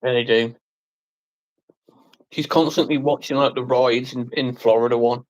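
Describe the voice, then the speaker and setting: A man's voice: medium pitch, fairly even intonation, normal speed, muffled recording. A man in his forties chatting casually as a vlogger, making dry, offhand jokes. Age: 40s. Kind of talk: casual chat.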